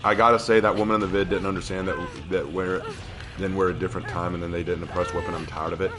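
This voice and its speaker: A man with a slightly hoarse voice, casually chatting and reacting as he streams a game. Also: sits low, little pitch movement, fast. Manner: talkative, opinionated, laughs at the game. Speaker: livestreamer. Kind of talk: casual gameplay commentary.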